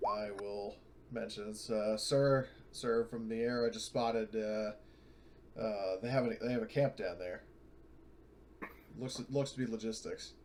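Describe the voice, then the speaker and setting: Low-voiced man playing an online game, noisy. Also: measured pace, darkish tone. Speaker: player in a tabletop roleplaying game. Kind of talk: casual chat during online game.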